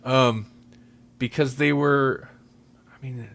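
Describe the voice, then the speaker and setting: A man's voice: medium pitch, fairly even intonation, slow pace, creaky, fairly bright tone, a little hiss or hum. A man hosting a podcast in casual chat, rambling loosely as he searches for his words. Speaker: podcast host.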